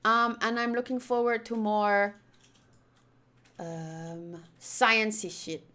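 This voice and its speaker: A woman's voice: medium pitch, fairly even intonation, takes it slowly, bright, forceful tone. A woman chatting casually on a livestream as she plays and reads along with a game, in a rambling, playful way. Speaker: streamer.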